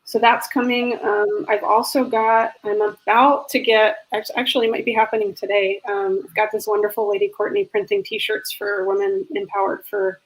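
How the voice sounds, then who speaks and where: A woman's voice: mid-range voice, level tone, moderate pace, few pauses, rough recording. A woman hosting a casual video interview, upbeat and encouraging, with lots of ums and you-knows. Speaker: host.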